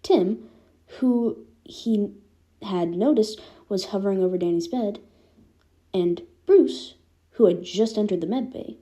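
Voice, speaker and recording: A woman's voice: mid-range voice, slow pace, dark tone. A woman reading fan fiction aloud as scripted narration. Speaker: podfic reader.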